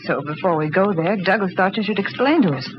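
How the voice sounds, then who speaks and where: A woman's voice: on the low side, a little fast, close to an everyday voice. An actress playing an earnest, anxious young married woman in 1940s radio drama style. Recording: muffled, noisy old radio recording.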